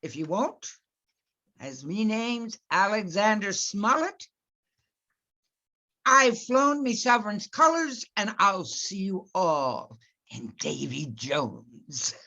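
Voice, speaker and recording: A woman's voice: medium pitch, lively intonation, slow, pushed and bright, dull audio, heavily acted. A woman playing a defiant male sea captain in a radio play, commanding and declamatory.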